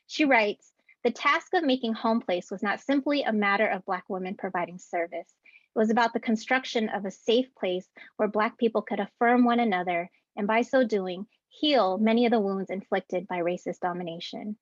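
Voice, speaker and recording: A woman in her thirties, an educator, giving a prepared ceremony speech from home over a video call in an earnest, deliberate way, reading out rhythmic lists. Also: medium pitch, dry recording. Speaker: keynote speaker and educator. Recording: dull, dry video-call audio.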